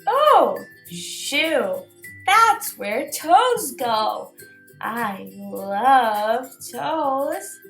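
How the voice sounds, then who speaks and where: Tiny, squeaky, hiccuping monster girl. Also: very animated, drawn-out words, fairly bright tone, roomy sound, faint music behind, full character voice. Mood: delighted. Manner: hiccuping between words.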